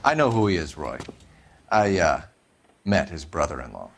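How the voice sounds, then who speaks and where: An actor playing an upright, by-the-book American police detective with a stern manner, in a 1940s noir video game. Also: male voice, deep voice, very animated, normal speed, vocal fry, exaggerated voice.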